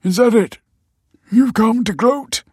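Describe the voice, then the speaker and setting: A man's voice: high voice, lively intonation, measured pace, darkish tone, slightly rough, no room sound, clean studio sound, pitched above the performer's own voice, heavily acted. A male narrator voicing a bitter, anxious man just out of prison, tense in manner. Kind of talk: audiobook character voice.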